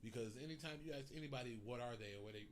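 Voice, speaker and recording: A man's voice: medium pitch, racing, over a noisy crowd. An American man co-hosting a podcast, talking casually and emphatically in slangy, profanity-laced speech. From United States. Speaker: podcast co-host. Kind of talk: casual, animated back-and-forth conversation. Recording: clearly audible background noise.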